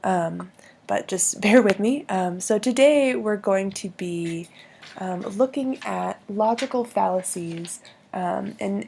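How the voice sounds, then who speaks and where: A woman with a hoarse voice from bronchitis, explaining logical fallacies in a hesitant way with many pauses and restarts. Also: medium pitch, a little mellow, a little hiss or hum. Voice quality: husky, gravelly.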